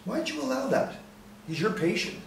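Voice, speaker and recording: A man plays an indignant lawyer in a stage drama. He is combative and scornful, with a very lively pitch. He sounds distant in an echoey room with faint noise. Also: normal speed, gravelly, full character voice. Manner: forceful, scornful. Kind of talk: stage play reading.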